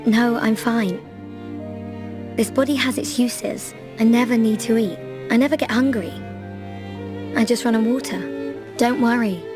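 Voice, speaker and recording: An actress playing a gentle, selfless young fantasy heroine in a video game cutscene, sincere and soft-spoken. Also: female voice, a little high, measured pace, pauses often, music in the background, lightly acted. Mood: calm, reassuring.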